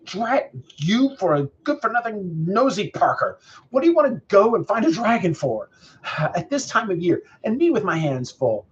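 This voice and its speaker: A man voicing a grumpy, blustering farmer from a storybook, scolding in gruff, rustic speech. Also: on the high side, normal speed, hardly any pauses, lo-fi sound, a little hiss or hum, lightly acted. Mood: exasperated.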